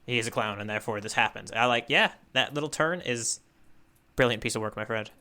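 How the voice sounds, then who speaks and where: A man with a very bright, forward voice talks casually as a podcast co-host. He works through his thoughts out loud, doubling back on words as he goes. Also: mid-range voice, brisk. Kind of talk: casual chat, manga discussion.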